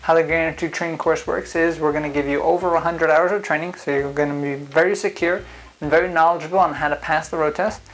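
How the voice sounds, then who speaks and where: A man's voice: on the high side, steady tone, quick pace, a bit bright, poor audio. A man who speaks English as a second language, explaining a driving test step by step in a casual, conversational way with frequent fillers. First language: not English. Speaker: driving school founder and instructor.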